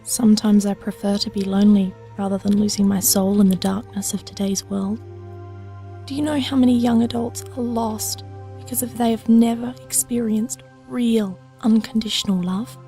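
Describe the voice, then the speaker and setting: A woman's voice: medium pitch, fairly even intonation, moderate pace, warm tone, faint music behind, natural voice. A young woman playing an earnest, idealistic, old-souled girl with formal wording in an audio drama. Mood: earnest, tender. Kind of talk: scripted audio drama dialogue.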